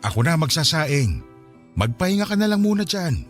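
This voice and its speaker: A man's voice: a little high, sing-song, moderate pace, soft background music. A man playing a cheerful, caring working-class husband in a radio drama, easygoing and reassuring. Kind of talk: Filipino radio drama acting.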